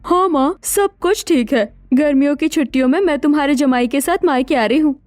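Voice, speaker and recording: Casting: actress as proud well-off young wife, high chirpy voice, self-assured, cartoon dialogue. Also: female voice, brisk, darkish tone, no echo, natural voice. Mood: breezy. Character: proud young married daughter from rich household. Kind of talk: Hindi cartoon story dialogue.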